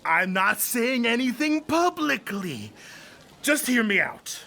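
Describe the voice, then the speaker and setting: Woman playing a creaky, blustering, meddlesome mayor. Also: a little high, sing-song, takes it slowly, a bit bright, slight background noise, heavily acted. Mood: confiding, insistent. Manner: blustering, insistent. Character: fussy, pushy, gossiping small-town male mayor. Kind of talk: period audio drama acting.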